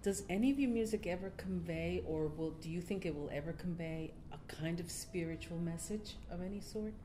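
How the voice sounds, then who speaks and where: A woman's voice: on the low side, brisk, dark tone, slight background noise. A woman hosting an interview, asking curious, chatty questions. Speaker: interviewer, podcast host. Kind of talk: casual interview.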